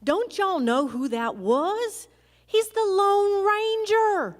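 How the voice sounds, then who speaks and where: High, drawling Texan outlaw widow. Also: female voice, slow. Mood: scornful. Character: defiant Southern outlaw widow, bitter and proud. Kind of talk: live old-time western radio play acting. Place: large performing arts hall, on stage. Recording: echoey hall, live stage performance.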